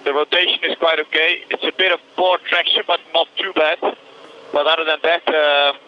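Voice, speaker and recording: A man's voice: fairly high pitch, fairly even intonation, normal speed. A man, a racing driver, giving feedback over team radio. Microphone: helmet radio microphone. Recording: muffled, noisy car radio with engine noise.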